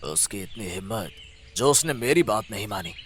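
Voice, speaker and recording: A man's voice: lowish voice, animated, normal speed, fairly bright tone, slight background noise, pitched below the performer's own voice. A man voicing a domineering, greedy rich landlord in a cartoon tale, with an imperious, threatening manner. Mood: menacing. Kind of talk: Hindi cartoon villain dialogue.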